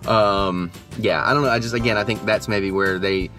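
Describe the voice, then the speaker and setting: A man's voice: on the low side, fairly even intonation, moderate pace, fairly bright tone, soft background music. A young man giving a casual movie review just after the screening, rambling and hesitant with many fillers and restarts. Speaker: movie reviewer, channel host. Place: just back from the theater, at home.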